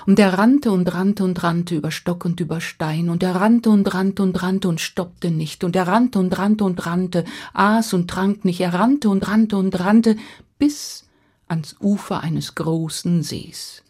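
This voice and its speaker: A woman narrating a fairy tale for children in a rhythmic, expressive way. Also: fairly low pitch, a little slow, slightly rough. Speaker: storyteller.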